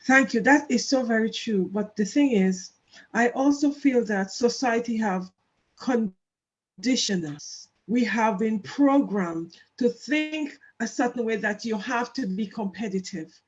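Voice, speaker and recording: A woman host speaking in a measured, affirming way, with a voice that sits a little high. Her sound comes through a slightly dull, muffled video call. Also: animated. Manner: measured, affirming, frequent "mm" backchannels. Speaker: interviewer. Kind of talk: interview questions and remarks.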